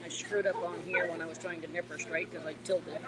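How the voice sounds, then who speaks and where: A woman's voice: on the low side, little pitch movement, brisk. A woman student explaining her hoof trim in a plain, matter-of-fact way. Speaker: workshop student. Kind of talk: casual explaining. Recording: noisy phone recording.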